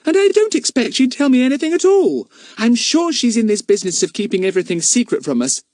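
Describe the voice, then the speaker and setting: A man's voice: extremely high pitch, big pitch swings, quick pace, darkish tone, dry recording, studio quality, voice pushed up. A man voicing an earnest, indignant young schoolgirl.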